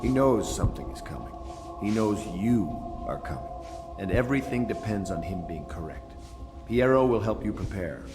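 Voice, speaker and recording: A man playing a grave, commanding leader of conspirators in a video game, solemn and deliberate. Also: fairly low pitch, very animated. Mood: solemn resolve. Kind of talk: video game character dialogue. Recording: reverberant hall, music behind.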